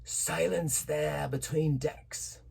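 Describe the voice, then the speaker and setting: Man playing tyrannical old pirate, storybook, drunken, slow drawl, loud, husky, menacing. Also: fairly high pitch, steady tone, voice pushed up, full character voice. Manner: bellowing drawn-out sea song and slow menacing commands.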